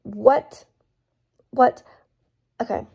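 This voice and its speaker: A young woman, hoarse from losing her voice, chatting casually and emphatically about a book she is reading, recorded on her phone at home. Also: extremely slow, dark tone. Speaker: book vlogger. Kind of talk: casual book review vlog. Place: small room at home, indoors. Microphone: phone front camera at arm's length.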